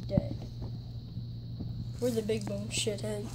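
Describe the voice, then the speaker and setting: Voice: medium pitch, flat delivery, slow, a crowd in the background, rough recording. A young-sounding performer giggling and joking crudely in slang while improvising a homemade puppet show, recorded on a handheld phone indoors. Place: carpeted bedroom indoors. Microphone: handheld phone close by.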